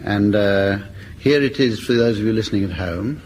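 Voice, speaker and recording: A man's voice: lowish voice, moderate pace, darkish tone, slight background noise, poor audio. A man with a British accent hosting a radio comedy panel show, giving running commentary and instructions.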